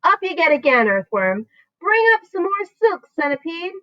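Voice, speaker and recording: A woman voicing a brave young boy who gives urgent orders, now whispering, now shouting, in a storybook reading. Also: very high voice, normal speed, no echo, dull audio, pitched above the performer's own voice.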